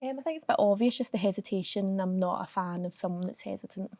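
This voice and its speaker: A woman's voice: medium pitch, fast, warm tone, no room sound, studio quality. A Scottish woman in her twenties, a dating show contestant who asks questions and gives short, blunt replies. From Scotland. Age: 20s. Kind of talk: asking game questions.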